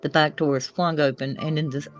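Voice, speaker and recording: A woman's voice: sits low, steady tone, a little fast, highs cut off, faint music behind. A woman narrating screenplay action in a loose, informal way, sometimes stumbling over the script. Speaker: co-reader of screenplay.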